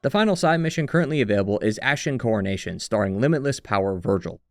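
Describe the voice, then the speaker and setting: A man's voice: fairly low pitch, lively intonation, quick pace, no room sound, faint music behind. A man narrating a scripted retrospective as a video essayist, with sardonic, wry commentary. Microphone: close, dry voice-over mic.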